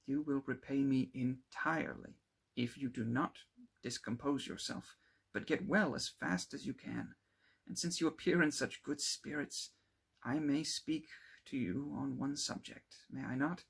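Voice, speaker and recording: A man voicing a concerned, loyal young gentleman in an audiobook, urgent and earnest, with old-fashioned formal speech. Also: mid-range voice, a little slow, vocal fry, husky, pitched above the performer's own voice, heavily acted. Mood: gently earnest.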